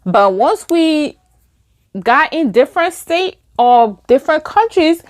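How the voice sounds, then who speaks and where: A young woman with a Liberian English accent telling a story while doing her makeup, acting out quoted lines in a higher voice. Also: sing-song, slow pace. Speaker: beauty vlogger.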